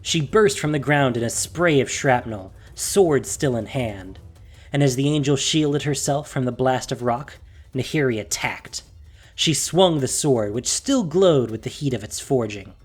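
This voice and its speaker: Husky, expressive fantasy story narrator. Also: medium pitch, a little slow, faint music behind. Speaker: audiobook narrator. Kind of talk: scripted dramatic story narration.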